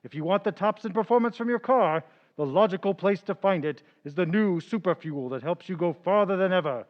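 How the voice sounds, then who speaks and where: A man reading an old-time radio gasoline commercial with a brisk, upbeat salesman delivery. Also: high-pitched, lively intonation. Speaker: announcer. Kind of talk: vintage radio commercial read. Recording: dull lo-fi old recording.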